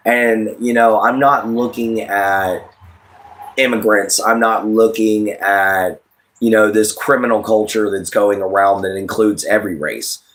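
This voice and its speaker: A man's voice: on the low side, fairly even intonation, a little slow, fairly bright tone. An American man in his late thirties hosting a casual livestream, giving rambling, repetitive opinions and addressing listeners directly. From United States. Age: late 30s. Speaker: livestream host.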